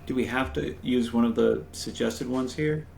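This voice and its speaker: Player, thirties, heavy vocal fry, wry and digressive, faint room hiss. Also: male voice, medium pitch, normal speed, a little mellow. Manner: self-deprecating, digresses mid-sentence. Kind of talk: casual chat between friends.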